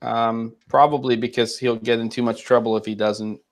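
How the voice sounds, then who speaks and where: A man in his forties hosting a relaxed, joking chat over a video call from a room at home. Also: mid-range voice, moderate pace. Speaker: host. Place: home room with curtains and lamp.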